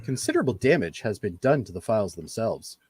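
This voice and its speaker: A man acting an earnest, precise android officer in a fan radio play, with formal, measured wording. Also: lowish voice, very animated, a little mellow, husky, dry recording. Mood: earnest, pondering. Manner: formal, measured, wordy phrasing.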